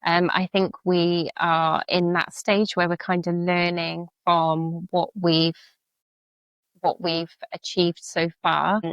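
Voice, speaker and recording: A woman's voice: lowish voice, level tone, takes it slowly, a bit bright, clean tone, no room sound, lo-fi sound. A woman being interviewed on a podcast as a charity leader, speaking earnestly and reflectively with some filler words. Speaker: charity CEO and veteran, guest.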